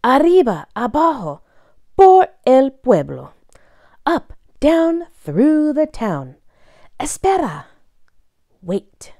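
A woman reading a children's picture book aloud in a bilingual read-aloud style, expressively and deliberately, phrase by phrase. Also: high voice, big pitch swings, mellow, no room sound, clean studio sound.

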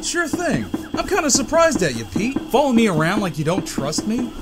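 A man's voice: extremely high pitch, huge pitch swings, brisk, loud music behind the voice, full character voice. A man playing a chatty, casual tough guy in a comic book dub. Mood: glib, nervous. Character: chatty, weary male police officer; also glib crook.

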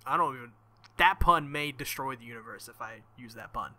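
Bright, forceful man reading a game aloud. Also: mid-range voice, a little fast. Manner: jokey, exclamatory asides. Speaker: let's-play host. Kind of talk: visual novel read-aloud with joking asides.

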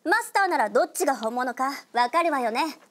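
An actress playing a proud, prickly young heroine who is easily flustered, in an anime radio drama. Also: female voice, high voice, lively intonation, a little fast, a bit bright. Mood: haughty. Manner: haughty, tsundere.

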